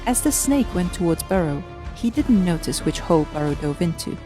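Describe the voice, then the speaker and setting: A woman's voice: on the low side, sing-song, fairly quick, music in the background. A woman narrating a scripted story in a storybook style.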